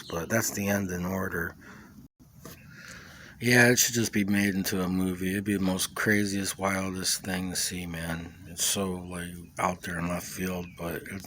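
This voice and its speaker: A man recording an informal, rambling personal testimony, speaking in a halting, reflective way with repeated words and trailing asides. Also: sits low, fairly bright tone, slight background noise. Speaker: vlogger sharing a personal testimony. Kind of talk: rambling, informal monologue recounting personal experiences.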